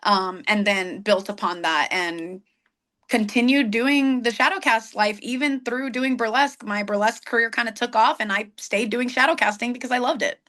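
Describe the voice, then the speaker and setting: A woman in her thirties, a burlesque performer, telling stories in a warm, chatty interview. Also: fairly high pitch, moderate pace. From United States. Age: 30s. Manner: warm storyteller, many restarts. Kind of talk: casual interview chat.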